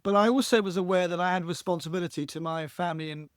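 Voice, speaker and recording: A man with a husky voice, a podcast guest talking reflectively and earnestly, often restarting his phrases. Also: sits high, fast talker, no echo, clean studio sound. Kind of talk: reflective conversational interview.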